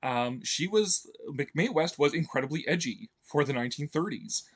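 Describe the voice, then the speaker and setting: A man's voice: on the high side, expressive, normal speed, breathy edge. A man talking casually as a video host, rambling with many fillers and restarts and chuckling often. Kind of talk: casual enthusiastic commentary.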